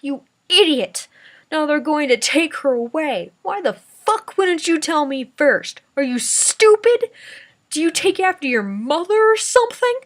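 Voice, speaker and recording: A woman's voice: sits high, big pitch swings, unhurried, pitched above the performer's own voice. A woman voicing a frail, volatile old grandfather in a dramatic story reading, given to outbursts and broken pleading. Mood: furious. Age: older.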